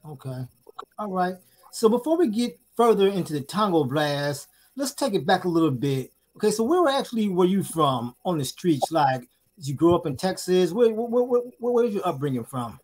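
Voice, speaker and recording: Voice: medium pitch, big pitch swings, moderate pace, a little mellow. An interviewer asking casual questions and repeating words while forming them.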